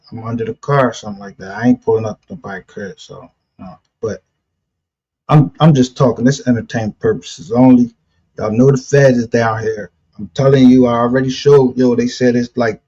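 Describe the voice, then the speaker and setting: A man with a husky voice chatting casually on a livestream, blunt and profane, with street slang. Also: mid-range voice, darkish tone. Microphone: streaming call. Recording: dull lo-fi stream audio.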